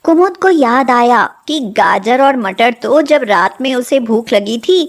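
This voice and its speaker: Woman, storyteller, high voice, slow pace, scripted narration. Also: lively intonation.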